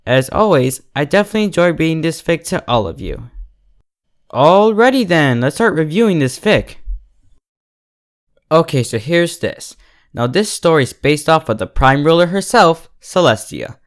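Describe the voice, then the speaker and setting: Animated man reviewing stories for viewers. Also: on the high side, moderate pace. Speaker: host and reviewer of fan fiction readings. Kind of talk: scripted review addressed to online viewers.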